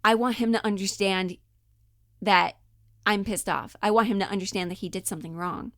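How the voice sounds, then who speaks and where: A woman's voice: mid-range voice, fairly even intonation, normal speed, a bit bright, no room sound, studio quality. A woman giving a casual acting lesson, informal and thinking aloud as she demonstrates. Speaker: acting teacher. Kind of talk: casual instructional talk on acting.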